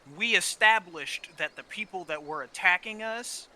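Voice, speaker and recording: A man with a fairly high, very bright and sharp voice talks casually over a game. He is opinionated and sweary, often stumbling into repeated words as he argues. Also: a little slow. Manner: frequent swearing, heated and opinionated. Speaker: gaming channel co-host. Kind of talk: casual gameplay chat about story.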